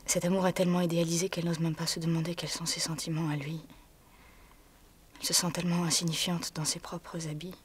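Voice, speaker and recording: An actress delivering a theatrical monologue in a hushed voice that often drops to a whisper, pausing as she tells a story. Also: fairly low pitch, level tone, a little hiss or hum.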